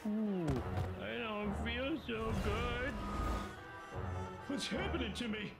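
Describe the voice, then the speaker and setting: A man voicing a dim, excitable middle-aged cartoon everyman with a thick, goofy, dopey voice, given to exclamations. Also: very high voice, slow, vocal fry, full character voice. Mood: woozy. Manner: goofy, exclamatory, swinging from mumbling to yelping. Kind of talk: animated comedy acting. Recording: loud music behind the voice.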